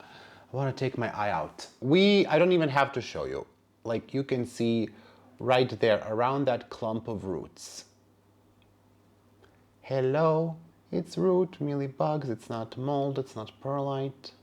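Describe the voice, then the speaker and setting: A man whose native language is Serbian talks through a casual vlog at a middling pitch. He chats away as he works, with a wry, sassy edge and plenty of dry asides. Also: animated, takes it slowly. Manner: chatty and wry, sassy asides, calls plants "her" and "girl". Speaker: plant vlogger. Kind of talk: casual vlog narration while handling plants.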